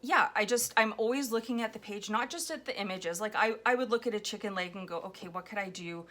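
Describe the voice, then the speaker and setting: Chatty, slightly hoarse woman crafting at home. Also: mid-range voice, fast, pushed and bright. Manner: chatty, rambling, frequent ums. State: hoarse, raw throat. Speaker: collage artist. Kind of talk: casual commentary while making a collage. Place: home, indoors at a table. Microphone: overhead camera on a floor mount.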